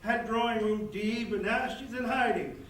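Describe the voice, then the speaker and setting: A man's voice: sits high, moderate pace, fairly bright tone. A man playing a jittery, placating train conductor with a hesitant, reassuring manner in a staged comedy reading. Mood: nervous, chatty. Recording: distant, echoey stage recording, faint noise.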